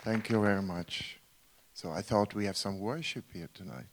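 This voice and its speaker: A man's voice: on the low side, moderate pace, background noise. A man with a Swiss German accent, a guest preacher, earnest and rhetorical, often asking the congregation questions. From Switzerland. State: tired from travel. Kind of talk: sermon.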